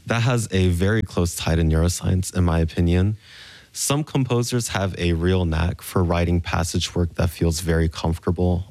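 A man from the southern United States giving thoughtful, measured and articulate interview answers as a musician. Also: deep voice, darkish tone, no echo. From Memphis, Tennessee, United States. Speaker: concert pianist being interviewed.

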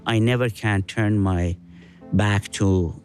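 An older man from Iran with an Iranian accent, speaking deliberately in an unscripted interview, pausing between phrases. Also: fairly low pitch, fairly even intonation, faint music behind. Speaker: interview guest, father of another guest.

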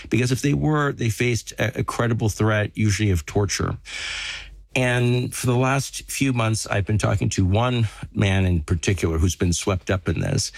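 A man working as a reporter, explaining a story in a measured, conversational news podcast style. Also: lowish voice, creaky, no echo. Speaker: reporter telling an investigated story to a host.

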